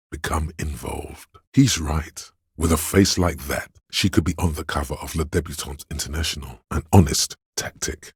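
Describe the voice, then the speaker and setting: An extremely deep, rough-edged voice of craving for a video game. It is a hedonistic tempter that coaxes and goads, relishing every indulgent word. The sound is dry and close. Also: male voice, no room sound, exaggerated voice. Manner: indulgent, coaxing, savours words, swears. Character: male inner voice of addiction, hedonistic, goading, seductive tempter. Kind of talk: scripted video game voice acting.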